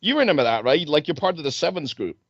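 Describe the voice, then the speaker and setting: Male talk show host, lively intonation, casual and eager questioner, low-fidelity sound. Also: fast talker, dry recording, lo-fi sound. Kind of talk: casual conversational interviewing.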